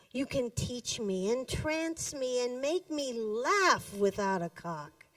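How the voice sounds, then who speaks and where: A woman reading her own poems live in a cafe, with deliberate, dramatic phrasing, pauses and emphatic stresses. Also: sits high. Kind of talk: live poetry reading to an audience. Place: indoors in a cafe. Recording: roomy echo, distant microphone.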